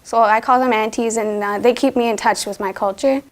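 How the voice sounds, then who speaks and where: A woman's voice: a little high, fairly even intonation, a little fast. A woman student speaking plainly and reflectively in a documentary interview, with some fillers.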